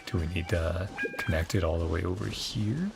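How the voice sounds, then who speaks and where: Male game streamer, gravelly and low, casual think-aloud commentary. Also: normal speed. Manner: mutters to self, sings syllables, thinks aloud. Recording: game music behind voice. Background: game music.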